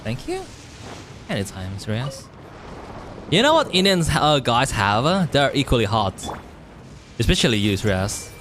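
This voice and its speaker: A man's voice: medium pitch, wildly swooping pitch, normal speed, pauses often, noisy background. Chatty young man streaming a game. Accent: non-native English. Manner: chatty, rambling, reads and answers chat. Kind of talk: casual chat while playing a game.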